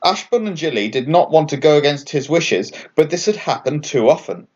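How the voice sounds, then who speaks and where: Man, children's story narrator, fairly high voice, very varied intonation, slightly dull recording. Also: moderate pace. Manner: expressive storytelling. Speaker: author and story reader.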